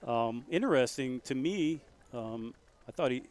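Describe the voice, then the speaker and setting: A man calling a local high school football game play by play, folksy and rising to loud excitement on big plays. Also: unhurried. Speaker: play-by-play commentator.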